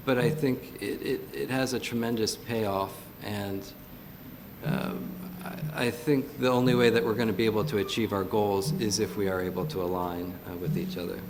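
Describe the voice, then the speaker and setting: A man's voice: steady tone, moderate pace. A man giving earnest panel remarks at a conference, with fillers and restarts. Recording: gravelly voice in a reverberant hall, faint rumble.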